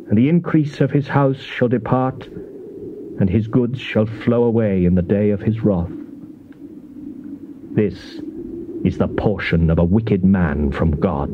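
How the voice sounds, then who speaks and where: A man's voice: fairly low pitch, close to an everyday voice. An actor playing a stern man pronouncing doom upon the wicked in dramatized scripture, solemn and portentous. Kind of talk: dramatized King James scripture, theatrical declamation. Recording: thin, narrow-band, noisy old cassette tape.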